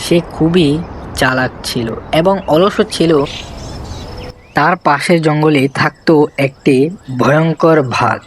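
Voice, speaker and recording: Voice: mid-range voice, slow, long pauses, slight background noise. A storyteller giving scripted narration for a cartoon animal tale.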